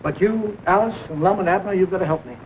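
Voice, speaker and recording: A man's voice: high-pitched, close to an everyday voice. Tinny old-film country doctor, grave man acting. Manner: issues short, measured orders. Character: grave, commanding small-town country doctor. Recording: narrow tinny old film soundtrack, hiss.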